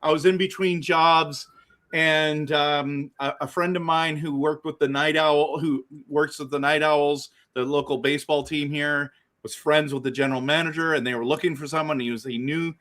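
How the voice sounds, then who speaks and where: A man hosting a casual interview, talking in an excitable, rambling way with many restarts. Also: on the high side, moderate pace. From Canada. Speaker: host.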